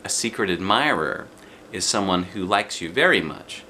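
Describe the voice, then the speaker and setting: A man's voice: sits low, animated, normal speed, sharp tone, a little hiss or hum. A man voicing a patient, kindly but exasperated woman schoolteacher explaining things to a small child in a storybook. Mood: amused, kindly. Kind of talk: children's storybook read aloud.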